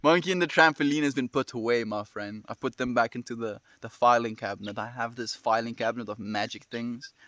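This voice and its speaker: A man in his twenties with a South African accent, chatting casually and fast with viewers on a livestream. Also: mid-range voice, sharp tone. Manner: fast-talking, friendly, reads and answers comments.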